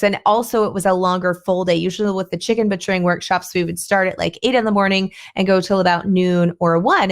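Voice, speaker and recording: Hoarse woman chatting on a podcast. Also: medium pitch. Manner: chatty, rambling, thinks aloud with restarts. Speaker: podcast host. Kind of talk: casual solo podcast talk.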